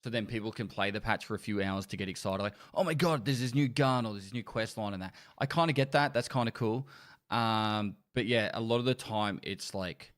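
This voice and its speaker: A man with a fairly low voice hosts a casual podcast. He talks in a chatty, joking way and slips into exasperated rants. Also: a little fast, a bit bright. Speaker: podcast host.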